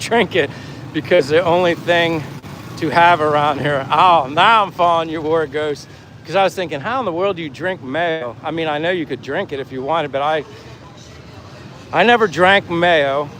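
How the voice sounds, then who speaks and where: Higher-voiced man livestreaming over outdoor noise. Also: fairly bright tone, low-quality recording. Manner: effusively thankful and friendly, addressing viewers directly. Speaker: livestream host. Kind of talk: casual livestream chat while walking, reading out viewer comments. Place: outdoors on the move, near traffic. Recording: clear outdoor traffic and engine noise.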